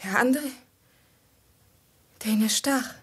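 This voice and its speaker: An actress playing an anxious, pleading princess with halting, dramatic delivery in a 1950s radio play. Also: female voice, a little high, breathy edge, heavily acted. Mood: pleading, defensive.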